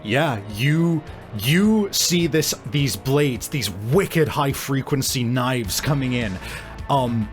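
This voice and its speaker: A man acting as game master, narrating and explaining rules in a halting, explanatory way, repeating words for emphasis. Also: medium pitch, lively intonation, soft background music. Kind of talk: improvised tabletop game narration and rules talk.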